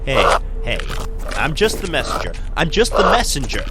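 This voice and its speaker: A man's voice: mid-range voice, wildly swooping pitch, normal speed, over music. An actor playing a panicky, pleading henchman in a radio drama. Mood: panicked. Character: cowardly foul-mouthed henchman messenger, panicky and pleading.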